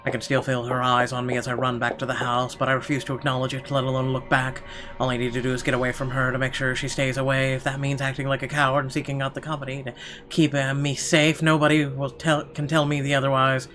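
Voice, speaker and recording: A man's voice: mid-range voice, monotone, fast talker, fairly bright tone, soft background music. A man reading first-person narration from a visual novel aloud in a dramatic storytelling style.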